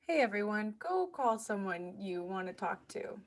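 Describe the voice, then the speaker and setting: A woman's voice: mid-range voice, sing-song, normal speed. A woman hosting a podcast interview in a casual, chatty style. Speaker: podcast host.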